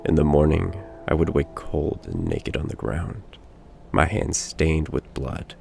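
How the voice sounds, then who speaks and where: A cursed, condemned young werewolf played by a male reader confesses in a very low, slow voice. He is bitter and resigned, grave as a storybook tragedy, with a gravelly creak. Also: big pitch swings, mellow, slight background noise, exaggerated voice.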